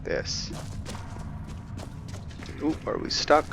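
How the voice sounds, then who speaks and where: A man's voice: very slow, noisy background. A man giving casual video game commentary as he plays, thinking aloud in a musing way. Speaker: gamer hosting a roleplay playthrough.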